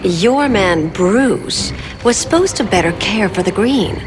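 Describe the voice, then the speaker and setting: A woman's voice: mid-range voice, lively intonation, takes it slowly, background noise. An actress voicing a cool, seductive female eco-villain with a sultry, disdainful delivery. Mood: cold. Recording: game cutscene voice over music.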